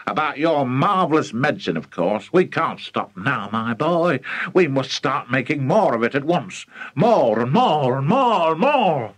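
A man voicing an excitable, scheming, overbearing father in a children's story, gushing and emphatic. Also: a little high, a little slow, creaky, few pauses, slightly rough, no echo, voice pushed up, heavily acted. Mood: gleeful, grand.

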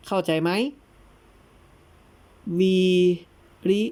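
A woman voicing a patient, probing male teacher in an audio tale, who explains things in a deliberate, didactic way. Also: dark tone. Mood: emphatic, instructive. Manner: deliberate, didactic, breaks words into syllables. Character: patient, probing old-fashioned male teacher instructing his pupil.

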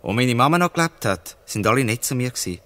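A man's voice: mid-range voice, lively intonation, fast talker, fairly bright tone, natural voice. An actor playing a wary, defiant orphan boy in a Swiss German radio play.

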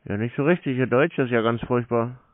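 A man from Germany baiting callers in a prank phone conversation, deadpan, curt and playing obtuse. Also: mid-range voice, brisk, no echo, narrow, tinny audio. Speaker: prank call recipient baiting scammers.

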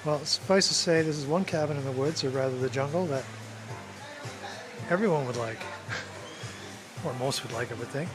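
A man's voice: mid-range voice, normal speed, long pauses, music in the background, poor audio. A man casually narrating a travel vlog, wry and chatty, chuckling to himself. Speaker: travel vlogger.

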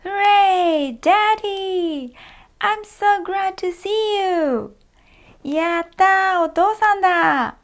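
A woman's voice: very high-pitched, drawn-out words, voice pushed up, heavily acted. A woman doing a squealing little thunder boy. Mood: excited. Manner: squealing, eager. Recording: faint music behind.

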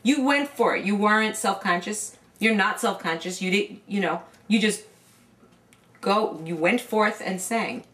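A middle-aged woman from New York, working as a vocal coach, explains breath support in an earnest, roundabout way, often restarting her sentences. Her voice sits at an ordinary middle pitch for a woman. She is recorded on a camera mic in a room at home. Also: measured pace, fairly bright tone. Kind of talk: casual interview, explaining singing technique. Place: indoor home room lined with CD shelves.

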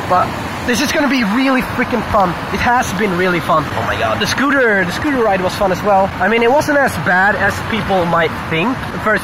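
A young man chatting excitedly into a handheld action camera outdoors in a river canyon while vlogging his trip. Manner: excitable, shouting greetings, pitch jumping up when thrilled. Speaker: travel vlogger. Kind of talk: casual vlog chatter. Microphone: handheld action camera at arm's length. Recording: loud rushing water and outdoor noise.

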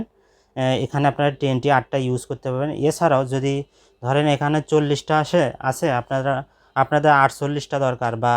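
A man with a Bangladeshi accent gives an informal product demonstration to viewers, pausing hesitantly and repeating key phrases. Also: mid-range voice, clear, resonant tone. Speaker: shop presenter selling office equipment.

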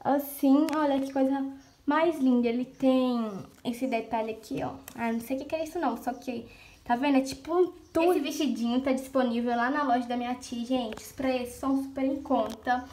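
Gushing high-voiced young woman vlogging. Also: steady tone, moderate pace, darkish tone. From Brazil. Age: young adult. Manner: chatty and gushing, addresses viewers as "gente". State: stuffy nose from a cold. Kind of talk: casual vlog chat to camera. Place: home rooms indoors. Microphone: phone camera at arm's length.

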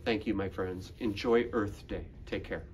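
A man in his fifties reading a picture book aloud to children in a deliberate manner. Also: fairly low pitch, fairly even intonation, a little mellow, a little hiss or hum. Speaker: school librarian, guest reader. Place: school library room, indoors.

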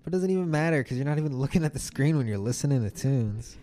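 A man's voice: mid-range voice, expressive, quick pace, warm tone. A young man co-hosting a podcast, chatting loosely and joking, with lots of filler words. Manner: loose and joking, slips into silly put-on voices. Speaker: podcast co-host. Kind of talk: casual chat.

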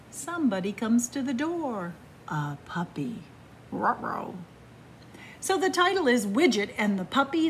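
A woman reading a picture book aloud to children in a library, with slow, expressive storytelling, hushed whispers and excited rises. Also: medium pitch, a little hiss or hum. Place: library in front of bookshelves. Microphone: camera facing the book.

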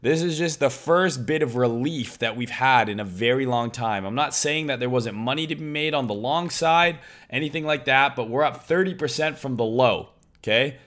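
Young male crypto streamer, hoarse, loud, excitable, casual swearing. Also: medium pitch, normal speed, few pauses. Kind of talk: casual live-stream chart commentary.

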